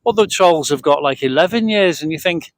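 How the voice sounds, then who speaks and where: British man, fairly high voice, chatting casually. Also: expressive, a little fast, no room sound. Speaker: vlog host. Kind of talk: casual vlog chat. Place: outdoors on a boat deck at a marina, breezy evening. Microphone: cheap handheld microphone shared with partner.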